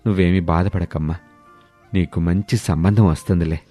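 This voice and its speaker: A man voicing a humbled, gentle ageing village father who speaks softly and patiently in a moral story. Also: low-pitched, steady tone, normal speed, soft background music. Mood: consoling. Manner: soft, patient, pleading.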